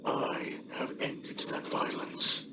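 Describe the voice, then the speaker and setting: An actor playing a stern, grieving alien leader in a video game cutscene, grave and formal with a breathy growl. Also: male voice, slow, recorded from a distance, heavy background noise, close to an everyday voice. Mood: accusing.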